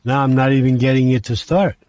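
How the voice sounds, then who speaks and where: Man, halting game commentary. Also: medium pitch, steady tone, darkish tone, no echo, lo-fi sound. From Hungary. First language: Hungarian. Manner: halting, with frequent restarts, talks through each control step. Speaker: train simulator player. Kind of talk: gameplay commentary.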